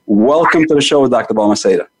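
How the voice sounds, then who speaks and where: Husky-voiced man hosting a health show. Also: mid-range voice, quick pace. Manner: emphatic, repeats key figures and words for stress. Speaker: host. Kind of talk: scripted show introduction and interviewing.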